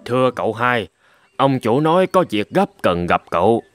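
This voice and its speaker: A man's voice: medium pitch, very animated, normal speed, dry recording, close to an everyday voice. A man voicing a humble, deferential old servant in a cartoon, speaking politely and respectfully. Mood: polite, urging. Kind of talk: dubbed cartoon storytelling. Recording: loud music under the voice.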